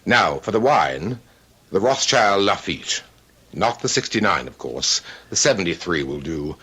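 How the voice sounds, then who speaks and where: An actor playing a fussy, aloof, wealthy middle-aged bachelor in a radio drama, speaking with pompous precision and dry wit. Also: male voice, sits low, sing-song, a little slow, pushed and bright, breathy edge, heavily acted. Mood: fastidious. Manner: pompous, precise, drily witty. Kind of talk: old-time radio drama acting.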